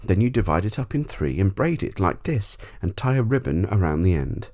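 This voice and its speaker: A man voicing a polite, quick-witted, eager little boy in a storybook. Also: low voice, big pitch swings, darkish tone, pitched above the performer's own voice, heavily acted. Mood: eager, helpful. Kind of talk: storybook character voice.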